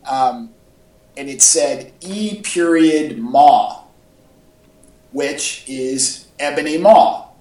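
A man's voice: medium pitch, drawn-out words, a little mellow, recorded from a distance. A man co-hosting a casual fan podcast, talking in an enthusiastic, rambling way. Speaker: podcast co-host. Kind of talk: casual fan chat about superhero movies. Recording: echoey, off-mic home podcast audio.

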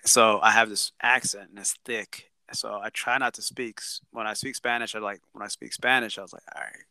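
A man chatting casually as a podcast co-host, animated, swearing and laughing as he acts out what others said. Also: fairly low pitch, brisk, vocal fry, no room sound. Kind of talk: casual chat.

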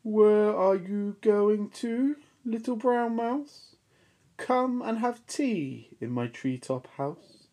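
A woman voicing a genteel, easily alarmed male owl in a storybook, with a drawn-out delivery. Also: mid-range voice, animated, mellow, pitched above the performer's own voice. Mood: inviting.

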